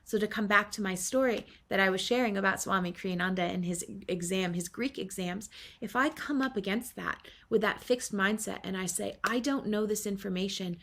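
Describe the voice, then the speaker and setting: Woman giving a spiritual talk, earnest and affirming, mid pitch, takes her time. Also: fairly bright tone. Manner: earnest, affirming, with many pauses. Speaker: spiritual teacher.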